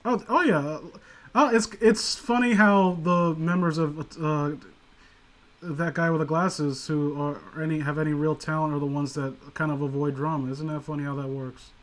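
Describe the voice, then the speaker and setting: A man's voice: a little high, steady tone, normal speed, fairly bright tone. A man casually hosting an online group commentary, rambling through his opinions with plenty of swearing. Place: remote online voice call.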